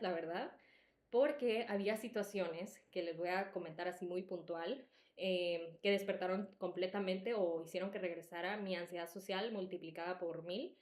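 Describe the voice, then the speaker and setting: A young woman with a Salvadoran accent softened by Mexican, telling personal stories in a candid, emphatic, conversational way as a podcast host. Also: mid-range voice, moderate pace. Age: young adult. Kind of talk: casual personal storytelling. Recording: echoey room.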